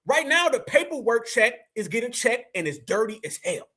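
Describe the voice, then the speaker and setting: A man's voice: very high voice, sing-song, normal speed, sharp tone. An American man hosting a live opinion stream, speaking colloquially and emphatically to his audience, with repetition and rhetorical questions. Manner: colloquial, addresses listeners as family. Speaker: livestream host.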